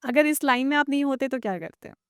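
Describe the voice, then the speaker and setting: A woman's voice: sits high, fast talker, no echo, studio quality. A woman with an Indian accent, chatting casually as the host of an interview podcast.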